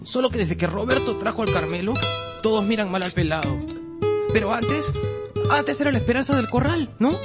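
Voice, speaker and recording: A woman's voice: fairly high pitch, expressive, moderate pace, loud music behind the voice, lightly acted. A woman acting an earnest young boy in a radio drama, eagerly pleading and protesting.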